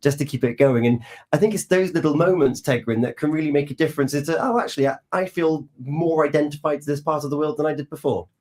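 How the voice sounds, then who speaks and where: A man from Wales, hosting a breakfast radio show in casual, lively chat. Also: a little high, fast. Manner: chatty, lively questions with warm asides.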